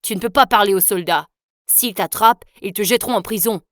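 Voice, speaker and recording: A woman's voice: medium pitch, fairly quick, fairly bright tone, dry recording, lightly acted. Woman doing a bossy rich kid who gives orders, protective, dry close recording. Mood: commanding.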